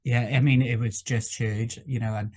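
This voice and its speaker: A man's voice: mid-range voice, steady tone, moderate pace, darkish tone, no echo. A man with an Irish accent, hosting a casual interview in an effusive, emphatic way. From Ireland. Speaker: interviewer, host.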